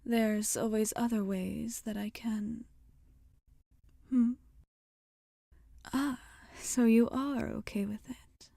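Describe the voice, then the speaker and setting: A kindly witch healer, acted by a woman, speaks in a soft, breathy near-whisper for an ASMR roleplay. The delivery is very unhurried and mellow, with tender, formal wording. The sound is close and dry. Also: on the high side, dry recording, exaggerated voice. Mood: gentle, careful. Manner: slow, soothing, formal and tender phrasing. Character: gentle, caring witch healer tending a customer.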